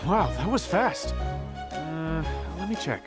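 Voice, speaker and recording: Flustered video game tinkerer, lively swooping intonation, slightly high male voice, proud yet hesitant, music behind. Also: takes it slowly. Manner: hesitant, stammering, easily flustered. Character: flustered, proud, self-doubting tinkerer challenging a machine. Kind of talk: video game character acting.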